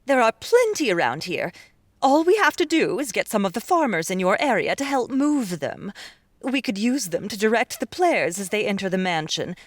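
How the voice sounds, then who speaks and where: A woman playing an eager, sharp-tongued and wistful young fox beastwoman in an audiobook, expressive and prone to exclaiming. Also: medium pitch, no room sound, close to an everyday voice. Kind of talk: audiobook character acting.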